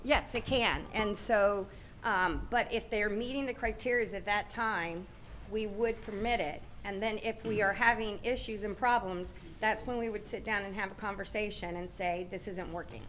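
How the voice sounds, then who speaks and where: A woman's voice: mid-range voice, normal speed, slight background noise, low-quality recording. Methodical woman explaining city policy. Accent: Southern American. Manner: steady, methodical, repeats points for clarity. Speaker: city transportation official. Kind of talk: explaining policy at a public meeting. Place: large meeting room.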